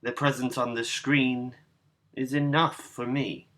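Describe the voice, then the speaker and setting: Man reading literary fiction in measured phrases. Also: medium pitch, little pitch movement, a bit bright. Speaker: narrator, reader. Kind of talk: literary short-story reading.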